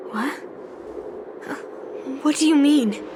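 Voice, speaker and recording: A woman's voice: high-pitched, drawn-out words, noisy background. A woman voicing a stubborn young female sword hunter in a video game, blunt and commanding in the way she talks. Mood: demanding. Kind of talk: video game character acting. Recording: game music behind the voice.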